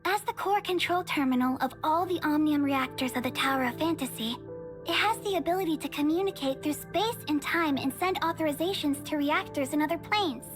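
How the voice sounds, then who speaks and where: A woman voicing a young female researcher in an anime-style game, excitable and easily flustered, speaking over music. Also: high voice, fairly quick, lightly acted. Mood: earnest, explaining.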